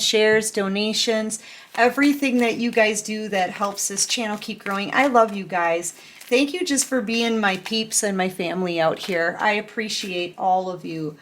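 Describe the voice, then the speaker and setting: A woman's voice: mid-range voice, unhurried, a bit bright, a little hiss or hum. Chatty tarot-reading woman, a bit sick. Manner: chatty, affectionate, many fillers and restarts. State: sick, throat-clearing. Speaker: tarot reader.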